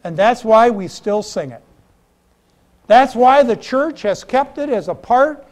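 A middle-aged American man preaching a sermon in church, deliberate and emphatic, in short phrases with pauses. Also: very high-pitched, lively intonation. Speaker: Lutheran pastor. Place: church, indoors at a pulpit.

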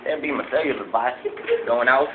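A man playing a boastful, broke rural hunter who shows off his trophies in a rambling, deadpan way. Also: medium pitch, quick pace, phone-quality sound, noisy background, lightly acted. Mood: bragging. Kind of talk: home comedy sketch.

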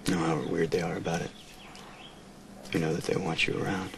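A brooding young man with a troubled past in a soap opera. His voice sits very low with a gravelly edge, and he talks quietly and guardedly. Steady noise hums behind him on the TV audio. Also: a little fast. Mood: guarded tender. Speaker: actor in a daytime soap.

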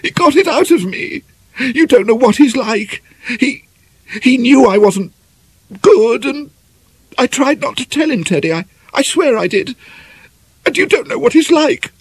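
Man voicing a timid, tearful woman, pitched high. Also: very animated, slightly rough, dry recording, heavily acted, poor audio. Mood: tearful pleading. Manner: halting, whispery, pleading, stammering. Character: timid, tearful, frightened young woman, anxious lover.

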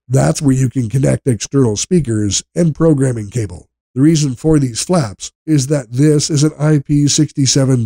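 A male narrator delivers a scripted product review in a measured, polished manner. His voice is mellow and relaxed, with a noticeable fry at the edges. The recording is dry and close, free of room sound. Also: mid-range voice, dry recording.

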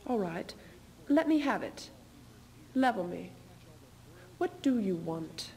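A young woman playing a weary, proud, sharp-tongued queen in a dramatic stage reading. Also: medium pitch, sing-song, slow. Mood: defiant, impatient. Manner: dramatic, biting. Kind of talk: theatrical duo interpretation, stage reading. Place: indoor stage in front of curtain.

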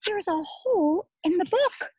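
A middle-aged woman, a preschool teacher, reads a story aloud expressively, pausing to explain simply and ask small children questions. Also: extremely high pitch, no room sound. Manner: expressive, addressing small children with simple words and emphatic repetition. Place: outdoors in a garden.

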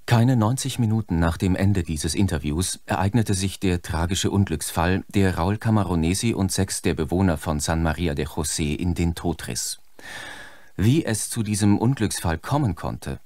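An actor playing a polite, probing documentary presenter in a radio play, speaking formally and in measured phrases. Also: male voice, low-pitched, darkish tone, dry recording. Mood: solemn, formal. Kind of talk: scripted broadcast interview in radio play.

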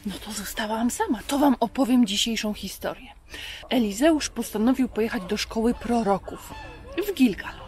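Higher-voiced woman hosting for children. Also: normal speed, a little mellow. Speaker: children's show host. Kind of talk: conversational storytelling for children. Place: outdoors in a garden.

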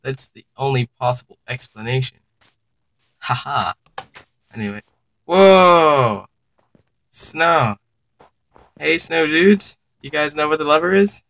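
A man's voice: a little high, animated, slow pace, long pauses, fairly bright tone, dry recording, poor audio. A young man giving casual gameplay commentary for his channel, chatting to viewers and reacting aloud as he plays. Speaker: gaming YouTuber.

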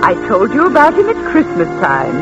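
An actress playing a fussy, matchmaking older sister with a gushing, bossy manner, in a 1940s radio drama. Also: female voice, fairly high pitch, wildly swooping pitch, brisk. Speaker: Mrs. Chumley. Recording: muffled vintage radio broadcast with music.

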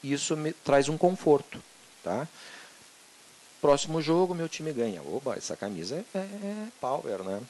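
A middle-aged man from Brazil, a physician giving an animated, conversational lecture on a handheld microphone in a conference room, often checking in with his audience. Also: a little high, normal speed, slight background noise, low-quality recording. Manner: animated, conversational, full of tag questions.

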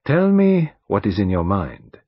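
Man voicing an elderly Belgian detective, French-tinged accent, formal and precise, husky, lively pitch, dull lo-fi sound. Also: unhurried, no room sound, exaggerated voice. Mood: measured. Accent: French-tinged English. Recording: dull lo-fi recording.

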